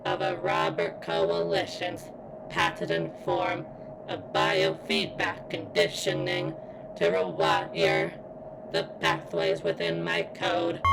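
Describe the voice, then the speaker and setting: A woman voicing a guarded robot girl who yearns for sentience in an ASMR roleplay, speaking in stilted, formal, halting phrases with a strained, pained sound. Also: rumbling low, creaky, noisy background, exaggerated voice. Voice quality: strained, as if in constant pain.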